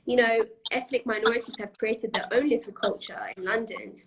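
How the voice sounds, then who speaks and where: A woman's voice: a little high, fairly even intonation, a little fast, background noise. A young woman from Iran, a podcast guest, talking casually with lots of fillers, restarts and laughter. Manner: chatty, laughs often, many fillers and restarts. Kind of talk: casual interview chat.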